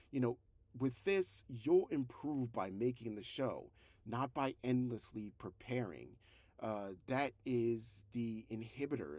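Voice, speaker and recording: A man's voice: medium pitch, a little mellow, no echo. A man talking casually as a creator and host, giving encouraging, motivational advice and repeating phrases for emphasis. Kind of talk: casual advice talk to camera.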